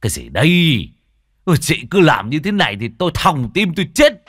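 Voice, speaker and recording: A man voicing a bossy, quick-tempered older village shaman who barks orders, in a told folk story. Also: lowish voice, sing-song, dry recording, clean studio sound, heavily acted. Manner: barking orders, loud and gruff.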